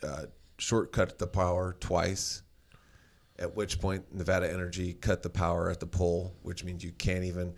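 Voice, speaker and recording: A man in casual conversation, telling stories in a loud voice, rambling with many restarts and fillers. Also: low-pitched, flat delivery, normal speed.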